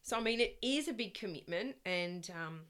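A middle-aged woman with a loud voice that projects, chatting as a guest in a casual interview, rambling and laughing often. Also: mid-range voice, lively intonation, moderate pace, clear, resonant tone. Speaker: guest, parent of the host.

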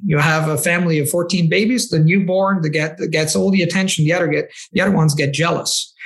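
A man, a language coach answering interview questions in a rambling way with many restarts. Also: a little high. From Italy. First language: Italian.

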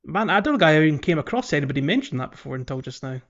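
A man chatting casually as a podcast co-host, thinking aloud in a halting way. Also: a little high, sing-song, a bit bright, highs cut off. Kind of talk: casual chat.

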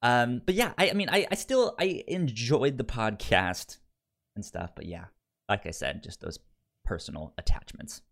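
A man hosting a podcast in casual chat, with a stutter that repeats sounds and makes his delivery halting. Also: mid-range voice, lively intonation, gravelly, a bit bright. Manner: halting delivery with stuck words. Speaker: podcast host.

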